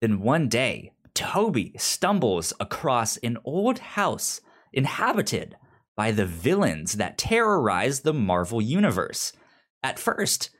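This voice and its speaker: A man co-hosting a podcast in casual, unscripted chat, often stammering and repeating syllables. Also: mid-range voice. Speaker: podcast co-host.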